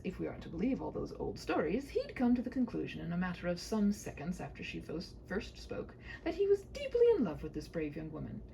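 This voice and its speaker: A woman's voice: medium pitch, gravelly, slight background noise, poor audio. A woman reading a humorous storybook aloud as narrator, with wry, theatrical delivery.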